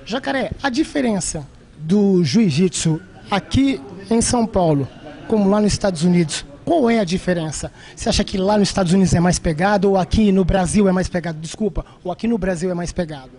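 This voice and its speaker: A man's voice: sits high, a little fast, dark tone. A man hosting a sports show, upbeat and chatty, interviewing a fighter with a handheld mic in a gym. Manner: upbeat, addresses viewers as "rapaziada". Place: martial arts gym, indoors.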